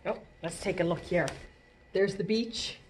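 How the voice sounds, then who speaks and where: A woman's voice: fairly low pitch, a little slow, a little mellow, a little hiss or hum. A middle-aged woman hosting a library storytime for young children, speaking expressively and drawing out key words for emphasis. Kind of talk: read-aloud for young children. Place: small indoor library room.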